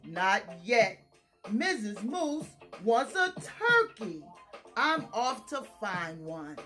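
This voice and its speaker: A woman reading a kindly, devoted husband moose from a picture book in a gentle, reassuring, deliberate manner. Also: on the high side, big pitch swings, soft background music, pitched below the performer's own voice, heavily acted. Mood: determined.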